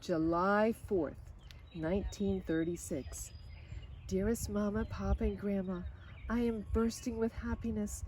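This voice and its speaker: Woman reading a storybook, soft-toned, noisy recording. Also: mid-range voice. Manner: expressive, pausing for short asides to listeners. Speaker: storybook reader. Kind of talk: reading a children's picture book aloud.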